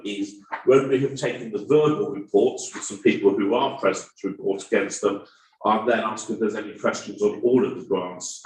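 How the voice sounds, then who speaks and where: A man chairing a town meeting, stumbling and repeating words when he speaks off the cuff. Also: fairly low pitch. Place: council meeting room. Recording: roomy hall, voice from far off.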